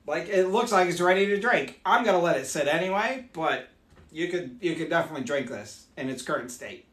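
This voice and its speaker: Hoarse, chatty man making mead. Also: on the high side, expressive, fairly quick, a bit bright, reverberant. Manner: chatty, upbeat, explains as he works. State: hoarse, losing his voice. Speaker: meadery owner hosting a live stream. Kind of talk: casual live demonstration. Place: small home meadery room, indoors.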